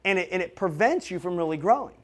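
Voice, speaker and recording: A man in his late thirties with a fairly high voice for a male speaker. He talks straight to his audience as a motivational business vlogger. His delivery is emphatic and direct, in the manner of a coach. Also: animated, quick pace. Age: late 30s.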